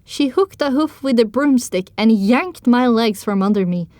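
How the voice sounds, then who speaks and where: A woman's voice: on the high side, animated, normal speed, darkish tone, no echo, close to an everyday voice. A woman narrating an audio drama in the first person as a sensitive young pony filly, in a scripted storytelling style. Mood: reflective.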